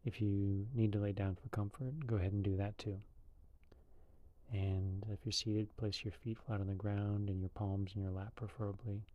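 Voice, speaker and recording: A man leading a guided meditation in a calm, unhurried, loosely conversational way. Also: on the low side, muffled recording.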